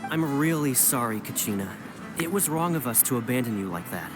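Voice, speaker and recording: Male game warrior acted by a man: remorseful, average pitch. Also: animated, a little fast, over music, natural voice. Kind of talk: anime game voice acting.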